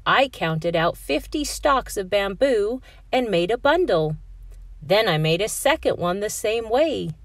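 A woman's voice: mid-range voice, animated, measured pace, no echo. A woman voicing a friendly, proud young animal pupil in a storybook, explaining things in an earnest, childlike way.

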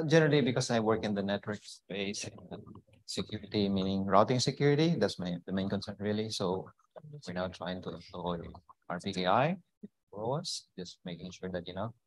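A man's voice: low voice, brisk, rough recording. A man introducing himself informally and a little hesitantly at a roundtable. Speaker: roundtable participant.

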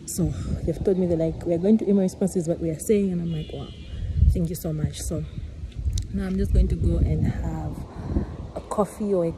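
A woman's voice: lowish voice, fairly even intonation, moderate pace, background noise. A woman in her twenties chatting casually in a vlog, rambling with many fillers and restarts. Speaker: vlogger. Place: out and about, then a café.

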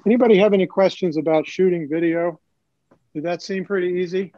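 A man's voice: high-pitched, fairly even intonation, brisk, no room sound, lo-fi sound. An older man giving an informal live tutorial, explaining things in a conversational way. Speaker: presenter, hobbyist teaching video editing.

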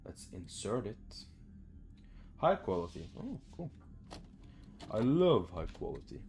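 Low-voiced young man, unhurried gamer. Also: very animated, a little mellow, faint music behind. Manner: muttering to himself in short phrases, casual swearing. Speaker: gamer, let's play host. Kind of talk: casual horror game commentary. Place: small room at home.

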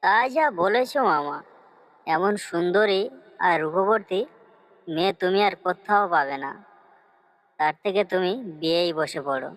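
A woman voicing a cunning fox in a cartoon story, coaxing and flattering as it schemes. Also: on the low side, a little slow, long pauses, lightly acted, rough recording. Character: cunning fox, sweet-talking helpful schemer.

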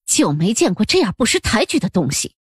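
A woman's voice: mid-range voice, very animated, a little fast, husky, dry recording, studio quality. A woman playing a haughty, indignant official's wife and grandmother in an audiobook drama, scolding and huffing. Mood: scornful.

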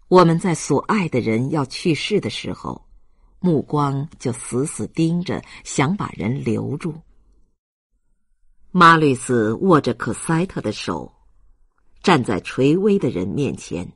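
Slow, husky male audiobook narrator. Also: medium pitch, animated, fairly bright tone, dry recording, clean studio sound. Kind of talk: scripted literary narration.

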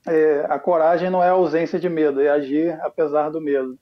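A Brazilian man in his thirties talks with a Brazilian Portuguese accent as a guest on a video call. He is earnest and hesitant and pauses often with fillers. The webcam audio comes through dull and lo-fi. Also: a little high, level tone. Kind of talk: casual video-call conversation. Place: home room with flag behind.

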